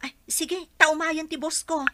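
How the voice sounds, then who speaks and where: A woman's voice: sits high, flat delivery, unhurried. A woman playing an earnest, concerned young working woman from a village in a radio drama.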